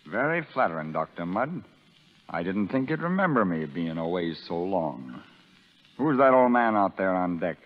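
An actor playing a cruel, vindictive prison sergeant in a 1930s radio drama, sneering and barking orders with a husky voice. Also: male voice, low-pitched, sing-song, moderate pace. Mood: gloating. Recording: dull old 1930s radio broadcast.

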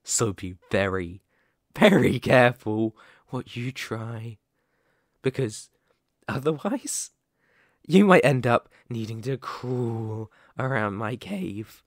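A man voicing a sadistic, possessive werewolf captor in an ASMR roleplay, speaking in a deliberate, condescending, threatening way. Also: medium pitch, expressive, no echo, clean studio sound. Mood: controlling, cold.

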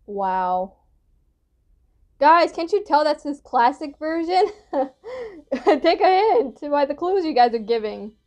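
A woman's voice: high-pitched, sing-song, takes it slowly, long pauses, darkish tone. A young woman giving casual, giggly, rambling reaction commentary as a video host, recorded indoors on a device at arm's length. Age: young adult. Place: indoor room at home.

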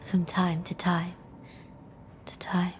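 Game role: lonely woman, wistful and fragile, slow drifting delivery, faint ambience. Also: lowish voice, monotone, slight background noise. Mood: dreamy. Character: dreamy, fragile, lonely woman lost in fog. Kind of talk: dramatic game voice acting.

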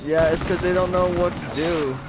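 A man's voice: a little high, fairly even intonation, normal speed. A young man in casual game chat with teammates while streaming, slangy and foul-mouthed, talking into a headset. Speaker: gamer live-streaming a co-op game. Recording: game audio under voice. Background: game music and effects.

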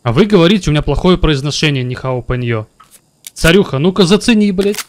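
A man's voice: on the high side, lively intonation, a little fast. A man in his thirties streaming a game from home, joking with his chat and talking at a desk mic. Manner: joking, talks to chat, puts on broken English and mock greetings. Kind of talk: live game stream commentary. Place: home room at a computer.

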